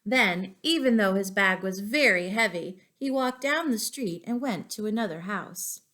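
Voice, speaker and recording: Slow-paced woman reading to young children. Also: mid-range voice. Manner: expressive, deliberate storyteller addressing young children. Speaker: children's school story-time teacher. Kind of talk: children's read-aloud of poems and picture books.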